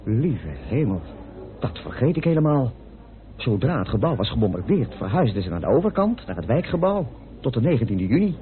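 An actor playing a chummy, easily indignant British parliamentarian in a Dutch radio play, full of questions and exclamations. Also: male voice, medium pitch, sing-song, fairly quick, natural voice. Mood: incredulous. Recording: narrow, phone-like old radio recording.

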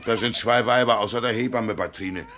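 An actor playing a poor, emotional farmhand who has just become a father, in a German radio play, with a rough voice. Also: on the low side, steady tone, a little fast, background noise, natural voice. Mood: impatient, agitated. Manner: emotional, brooding, swinging from loud complaint to murmur. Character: poor, grumbling farmhand turned tender, anxious new father.

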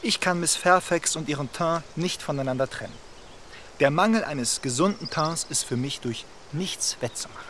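An actor playing a charming, talkative young gentleman in a radio play, lively and gossipy. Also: fairly high pitch, normal speed, a little hiss or hum, close to an everyday voice. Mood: chatty.

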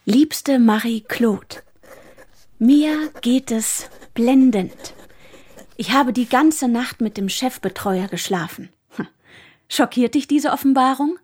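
A woman's voice: a little high, lively intonation, takes it slowly, darkish tone, slightly rough, heavily acted. An actress playing a candid young woman in a radio drama reading. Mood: brazen, confiding.

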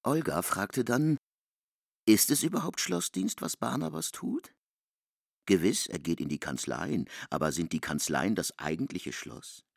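A man performing literary narration and dialogue for a radio drama in a hushed, measured way. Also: on the low side, big pitch swings, gravelly, no echo, clean studio sound.

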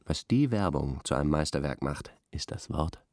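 A man's voice: extremely low pitch, expressive, moderate pace, darkish tone, no room sound, clean studio sound. An actor playing an earnest, pompous male intellectual who analyses like an academic in a radio play. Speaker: expert guest on a radio discussion. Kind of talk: radio play acting as an interview.

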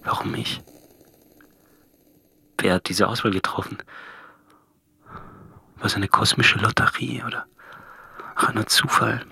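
An actor playing a solitary, reflective last man on earth in a radio drama, brooding and measured, with music behind him. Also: fairly low pitch, fairly even intonation, creaky, bright, forceful tone, exaggerated voice. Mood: brooding, uneasy. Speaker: actor narrating a radio play. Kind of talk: literary radio drama monologue.

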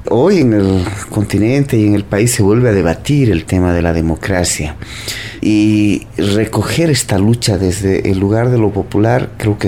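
Male interviewee, low-pitched, formal and analytical, slight background ambience. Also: dark tone. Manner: measured, formal, intellectual phrasing. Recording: faint ambient background noise.

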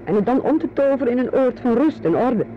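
A woman's voice: a little high, lively intonation, quick pace, lightly acted. Woman as lilting, polite cleaning lady. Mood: contented. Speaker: radio play performer. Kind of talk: radio drama dialogue. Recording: muffled radio play with loud vehicle noise.

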